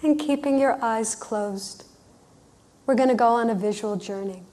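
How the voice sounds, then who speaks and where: Female guided-visualization leader, very slow delivery, slightly high pitch, gentle and soothing, short phrases with pauses. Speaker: workshop leader guiding a visualization. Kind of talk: guided meditation, unhurried storytelling instruction.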